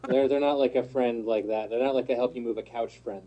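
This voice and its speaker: A man's voice: mid-range voice, steady tone, a little fast, highs cut off. A man in his thirties on a casual panel, talking eagerly and jokily, often stumbling over his words. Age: 30s.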